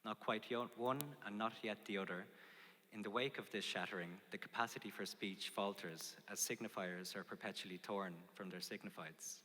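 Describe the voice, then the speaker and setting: A man from Ireland, reading a poetic essay in a slow, reflective, low-key way. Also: fairly even intonation. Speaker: narrator. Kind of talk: scripted poetic narration. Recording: loud guitar music under the voice. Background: guitar music.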